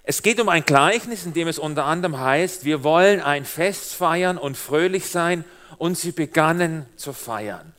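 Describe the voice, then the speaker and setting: Middle-aged guest preacher with a Swabian accent and a Swiss tinge, lively and joking, a fairly high male voice. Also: a little slow. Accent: Swabian German with Swiss German influence. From Swabia, Germany. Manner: lively, humorous, addresses the congregation directly. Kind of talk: sermon.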